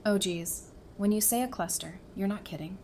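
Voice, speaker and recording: A woman playing a caring, casual survivor in an audio drama, speaking in an expressive, conversational way. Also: medium pitch, moderate pace, lightly acted. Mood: casual concern. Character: caring, casual, warm-hearted female survivor. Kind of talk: fan audio drama acting. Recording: clearly noisy background ambience.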